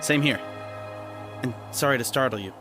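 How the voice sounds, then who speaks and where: A man's voice: mid-range voice, normal speed, close to an everyday voice. An actor playing an exuberant, friendly young male trainee in an audio drama. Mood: friendly, teasing. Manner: eager, excitable. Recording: loud music under the voice.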